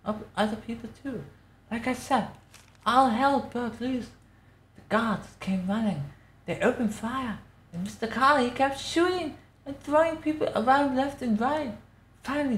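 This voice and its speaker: A woman's voice: on the high side, slight background noise, lightly acted. A woman voicing an elderly matriarch who recounts a tragic night as a folksy, dramatic storyteller. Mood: animated, grave. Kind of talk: dramatic reading of a game newspaper. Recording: roomy echo.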